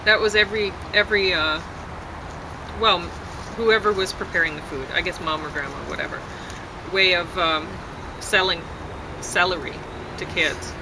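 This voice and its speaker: Woman chatting outdoors over clear traffic noise. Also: lowish voice, moderate pace, pauses often. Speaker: co-host. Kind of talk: casual chat. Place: outdoors on a deck.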